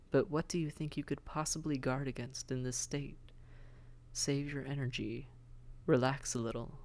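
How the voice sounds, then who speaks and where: A suave fallen-angel demon prince, acted by a man for an intimate audio drama. The voice is breathy with a heavy fry and often sinks toward a whisper. He coaxes and teases in formal words, keeping his pitch level and controlled. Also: darkish tone, natural voice. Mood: soothing. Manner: unhurried, teasing, coaxing, formal wording.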